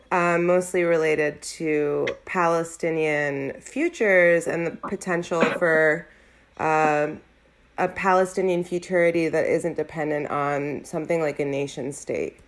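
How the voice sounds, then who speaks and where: Low-voiced American woman, thoughtful. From United States. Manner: thoughtful, measured with frequent pauses. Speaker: academic guest. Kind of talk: reflective interview answer.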